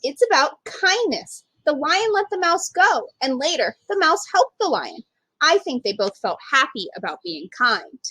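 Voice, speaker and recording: A woman's voice: sits high, very animated, measured pace, bright, forceful tone, dry recording, exaggerated voice, low-quality recording. A woman voicing an earnest, thoughtful young schoolgirl in a storybook. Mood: eager.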